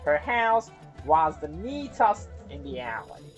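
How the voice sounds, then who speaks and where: A woman's voice: sits low, sing-song, takes it slowly, lo-fi sound. Children's story host: woman, chatty and giggly, widely varied intonation, light music underneath. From Iran. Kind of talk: casual introduction and folktale narration for children.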